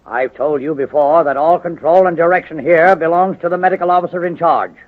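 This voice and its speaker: An actor playing a pompous, spiteful army surgeon with an officious, indignant manner, in old radio drama style. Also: male voice, a little high, a little fast, close to an everyday voice. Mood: affronted, officious. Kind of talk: 1930s-40s radio drama acting. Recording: tinny, hissy old radio recording.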